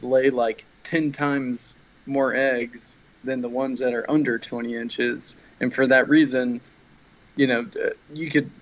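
A man's voice: mid-range voice, fairly even intonation, measured pace, lo-fi sound. A young man, co-hosting a casual podcast over a video call, talking in an easygoing, hedging way.

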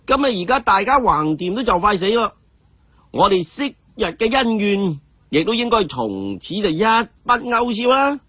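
A storyteller voicing a cheeky, quick-witted young man who pleads with exaggerated earnestness and dramatic sighs. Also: medium pitch, big pitch swings, husky, no echo, pitched above the performer's own voice. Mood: theatrical pleading. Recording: dull, muffled audio.

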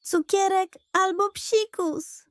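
A woman's voice: steady tone, slow pace, a bit bright, dry recording, full character voice. Woman doing a huffy greedy pug dog. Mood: rehearsing. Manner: whiny and huffy, high and overexcited. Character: greedy, lazy, indignant male pug dog.

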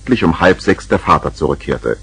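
A man with a husky voice narrating a scripted 1970s children's radio play. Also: low-pitched, animated, normal speed, fairly bright tone, full character voice.

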